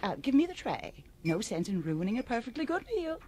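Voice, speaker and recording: An actress playing an imperious, gushing society hostess in a radio drama, with an affected, bossy manner. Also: female voice, fairly high pitch, very animated, a little fast, warm tone, husky. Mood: exasperated. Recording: faint background noise.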